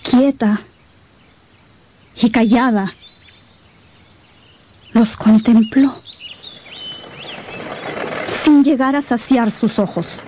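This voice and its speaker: A woman's voice: a little high, takes it slowly, pauses often, mellow, a little hiss or hum. A woman narrator telling a radio novel in a solemn, suspenseful, dramatic scripted style. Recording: dramatic music behind the voice.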